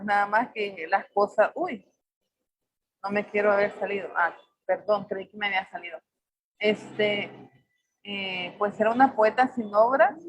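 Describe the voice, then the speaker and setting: A woman in her forties from El Salvador, with a Salvadoran accent tinged with Mexican, speaking as a poet on a video call. She talks thoughtfully, searching for words and filling pauses often. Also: medium pitch, lo-fi sound. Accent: Salvadoran Spanish mixed with Mexican. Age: 40s. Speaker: poet on a panel. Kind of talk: reflective personal talk.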